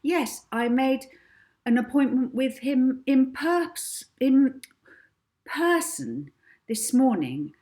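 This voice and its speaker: A woman voicing a cunning young orphan girl hypnotist, calm and commanding, in an audiobook. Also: sits high, voice pushed up. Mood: bold, confident. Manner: soft, slow, commanding. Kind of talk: audiobook character voice.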